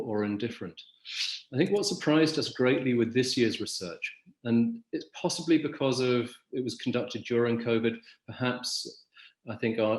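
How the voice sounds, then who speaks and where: A man hosts a business webinar over a video call, presenting in a measured, articulate way. His voice sits at an ordinary middle pitch. The room gives it a noticeable echo. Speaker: webinar host.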